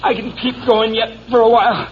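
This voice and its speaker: An actor playing a suspicious, agitated bus passenger in 1940s radio suspense style, excitable and challenging. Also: male voice, very high-pitched, fairly quick. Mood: weary, insistent. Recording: muffled, hissy vintage radio recording.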